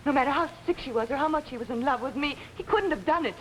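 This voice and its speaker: An actress playing an ambitious, nervous young pianist in an early 1950s TV mystery, halting and defensive. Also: female voice, sits high, close to an everyday voice. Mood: flustered, defensive. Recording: reverberant, faintly noisy old 1950s TV soundtrack.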